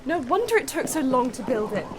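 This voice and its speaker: A woman's voice: high-pitched, sing-song, a little fast, background noise. A woman playing a shy but spirited, well-bred young lady in a period radio drama, with hesitant, proper diction. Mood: wondering, bashful. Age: young adult.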